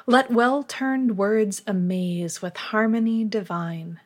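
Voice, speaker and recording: A woman reads aloud as a podcast host, in a middle-pitched voice. Her delivery is slow and deliberate, with each phrase of the scripted reading measured out. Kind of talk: scripted announcements and poetry recitation.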